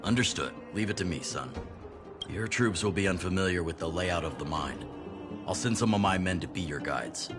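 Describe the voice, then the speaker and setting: An actor playing an older male resistance leader, steady, decisive and fatherly, in a video game. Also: low voice, moderate pace, music in the background. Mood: approving.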